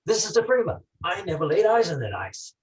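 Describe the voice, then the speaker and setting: A man's voice: sits high, huge pitch swings, brisk, far from the mic, low-quality recording. Man as a defiant small-time crook, off-mic. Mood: protesting, rattled. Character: defiant small-time male crook, protesting his innocence. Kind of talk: campy 1940s gangster radio acting. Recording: off-mic live stage pickup.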